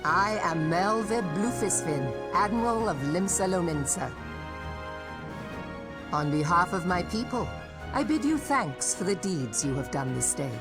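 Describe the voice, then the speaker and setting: A woman's voice: fairly low pitch, animated, unhurried. A woman playing a commanding yet gracious admiral in a fantasy game, with formal, authoritative, old-fashioned phrasing. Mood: formal gratitude. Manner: formal, authoritative, archaic diction. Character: commanding, gracious female admiral of a seafaring city. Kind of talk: fantasy game cutscene acting. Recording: music under the voice.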